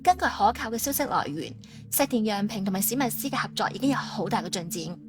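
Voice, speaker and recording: A woman's voice: medium pitch, expressive, fast talker, over music, lightly acted. A woman playing a stern, determined female agent in audiobook dialogue. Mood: firm.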